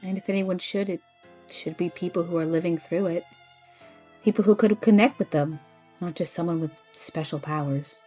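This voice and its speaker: A woman's voice: fairly low pitch, steady tone, normal speed, dark tone, faint music behind, natural voice. A woman playing a skeptical, protective young adventurer in an audio drama, blunt and wry. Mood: subdued. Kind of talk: scripted audio drama acting.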